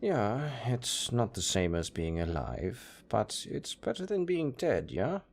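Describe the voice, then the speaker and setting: A man's voice: lowish voice, big pitch swings, slow pace, vocal fry, full character voice. A man playing a pragmatic, chatty necromancer who talks casually and matter-of-factly about grisly work in an audio roleplay. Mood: businesslike, upbeat. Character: chatty, pragmatic male necromancer, former tailor. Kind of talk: intimate audio roleplay acting.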